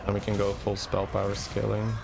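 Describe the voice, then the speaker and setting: A man's voice: lowish voice, completely flat delivery, brisk, creaky, background noise. A man from Belgium with a Flemish accent, whose first language is Dutch. He streams a game live, thinking his plans aloud and tagging them with "right?". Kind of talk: live gameplay commentary. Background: faint game music.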